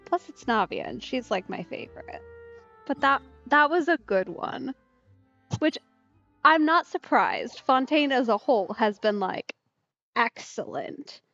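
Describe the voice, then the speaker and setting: A woman's voice: mid-range voice, fairly bright tone, no room sound, dull audio. A young woman casually commenting while playing a video game, chatty and giggly. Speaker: game streamer. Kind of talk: casual let's play commentary. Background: game music.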